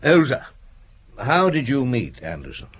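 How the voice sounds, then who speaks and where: An actor playing a shrewd, dogged police chief in a radio drama, questioning briskly and with authority. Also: male voice, mid-range voice, huge pitch swings, slight background noise. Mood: stern, probing. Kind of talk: broadcast radio drama acting.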